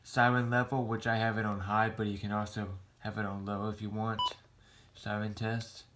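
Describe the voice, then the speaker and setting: An American man giving a casual show-and-tell in a halting, rambling, plain-spoken way. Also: lowish voice, little pitch movement, heavy background noise. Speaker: hobbyist vlogger showing a radio collection.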